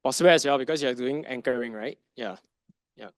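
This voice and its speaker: A man's voice: medium pitch, a little fast, dry recording, studio quality. Man lecturing with rhetorical questions. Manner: conversational, rhetorical questions, tag "right?". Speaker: masterclass presenter, finance advisor. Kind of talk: conference lecture.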